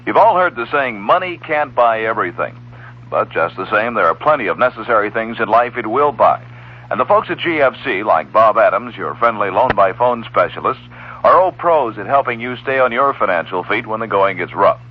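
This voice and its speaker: A man's voice: on the low side, expressive, a little fast, breathy edge. A man reading a scripted 1960s radio advertisement as a commercial announcer, with a folksy sales manner. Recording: muffled old radio recording.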